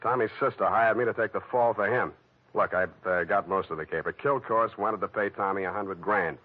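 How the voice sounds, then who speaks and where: An actor playing a wry, hard-boiled private detective in 1940s radio noir style, nonchalant and slangy. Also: male voice, on the low side, fairly quick, close to an everyday voice. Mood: cool, tough. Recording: dull old radio recording with loud music.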